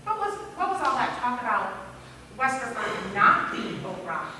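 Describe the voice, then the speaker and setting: A woman's voice: fairly high pitch, big pitch swings, moderate pace, sharp tone. Echoing, far-off woman acting a guarded aunt. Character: guarded, caring aunt, a librarian with secrets. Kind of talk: amateur stage play dialogue. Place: community theatre stage in a hall. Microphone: distant audience camera. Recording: echoey hall from far off, noisy.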